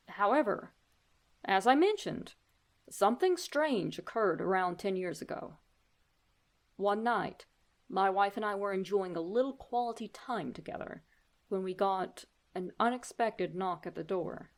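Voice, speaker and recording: Female horror narrator, medium register, deliberate dramatic delivery for scripted tales. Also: mid-range voice. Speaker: host and narrator of horror stories.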